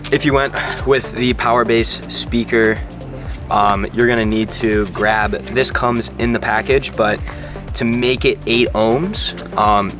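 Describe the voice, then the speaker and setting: A man hosting a DIY car tutorial, giving plain, informal step-by-step instructions in a casual how-to style. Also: fairly low pitch.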